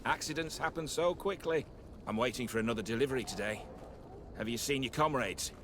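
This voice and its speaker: An actor playing a smug, corrupt guard lieutenant in a video game, cocky and quietly threatening. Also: male voice, mid-range voice, brisk, lightly acted. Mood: smug menace. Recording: noisy ambient sound behind the voice.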